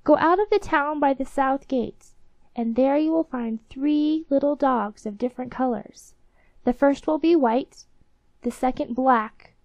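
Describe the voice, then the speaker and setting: Lady doing an old beggar woman from a storybook, high voice, emphatic, pleading and bossy, super dry recording. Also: no echo, lo-fi sound. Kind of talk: storybook character voice.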